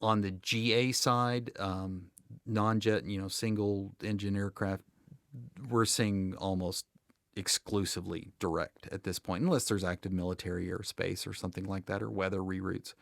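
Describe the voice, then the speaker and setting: A man's voice: low-pitched, fairly even intonation, normal speed, creaky, no room sound. An American man speaking conversationally as an expert podcast guest, in an emphatic, plain-spoken way with dry humor. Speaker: guest air traffic controller.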